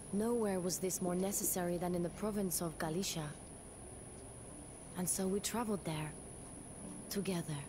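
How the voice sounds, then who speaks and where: A young medieval queen, played by an actress, tells her story in a low-pitched, unhurried voice. She is pious, dutiful and wistful, a solemn game-campaign narrator, with clear noise behind her. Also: close to an everyday voice. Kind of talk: scripted dramatic narration of a historical game campaign.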